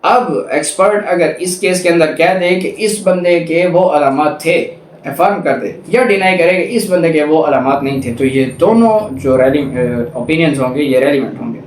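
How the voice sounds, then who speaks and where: A man's voice: on the high side, normal speed, a little hiss or hum. A man with a Pakistani accent giving an explanatory law lecture in a didactic way, reading statutes aloud and rephrasing each point. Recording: echoey room.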